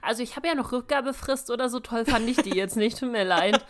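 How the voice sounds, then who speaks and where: A woman's voice: a little high, fast talker, crowd noise. A woman chatting casually as a podcast co-host in a playful, teasing and colloquial manner. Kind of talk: casual chat.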